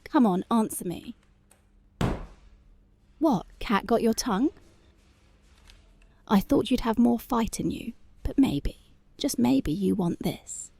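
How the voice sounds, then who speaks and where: A woman playing a strict, dominant female police officer in an ASMR roleplay, slow, taunting and intimate, often slipping into whispers. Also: on the high side, lively intonation, gravelly, a little mellow, breathy edge, dry recording, heavily acted. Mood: teasing, coaxing.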